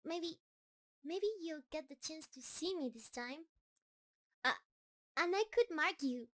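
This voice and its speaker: A woman acts out a gentle giant spider creature for an intimate roleplay audio. The voice is high and very unhurried, with a nervous, halting tenderness. It is doting and shy, talking softly to one beloved listener. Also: lively intonation, heavily acted. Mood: shy, sweet.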